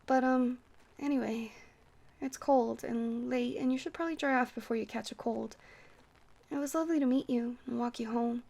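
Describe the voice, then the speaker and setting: Woman playing a shy, high-voiced rambling vampire girl. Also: steady tone, normal speed, vocal fry. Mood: shy, warm.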